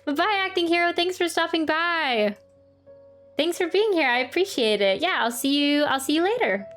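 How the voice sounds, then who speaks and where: A woman's voice: very high voice, expressive, moderate pace, a bit bright, soft background music. A young adult woman chatting casually on a game livestream in a friendly, giggly, slightly apologetic way.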